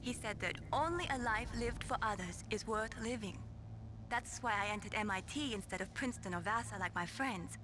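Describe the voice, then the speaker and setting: A woman's voice: on the high side, lively intonation, moderate pace, background noise, lightly acted. A woman playing a thoughtful, idealistic young engineer and adviser in a video game, earnest and reflective.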